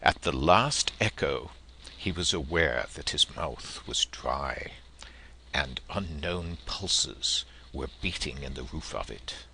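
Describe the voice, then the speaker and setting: A man reading literary prose and verse aloud as an audiobook narrator, with theatrical, deliberate phrasing. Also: a bass voice, animated, bright, forceful tone, slightly rough.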